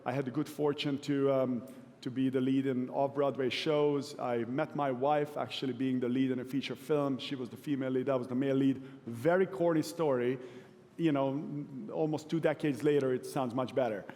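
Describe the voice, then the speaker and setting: A man from Hungary in his forties, giving a relaxed, self-deprecating conference talk to a live audience. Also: medium pitch, normal speed. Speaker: keynote speaker. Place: large event hall. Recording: long hall reverb.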